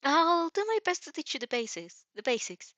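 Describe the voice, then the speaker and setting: A woman's voice: sits high, sing-song, moderate pace, fairly bright tone, dry recording, pitched above the performer's own voice. A woman acts out a nervous, good-hearted teenage boy in a fan story reading. The voice is raised and close, with a dry sound. He speaks eagerly, stumbling over words as he reassures and helps. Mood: earnest, reassuring. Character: earnest, helpful, nervous teenage boy student.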